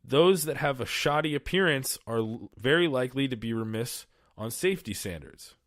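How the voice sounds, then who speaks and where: A man's voice: mid-range voice, lively intonation, moderate pace, dry recording, studio quality. Casual profane male podcast host. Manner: casual, profane, rambling with filler words. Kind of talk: solo podcast monologue, reading aloud and riffing. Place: small studio.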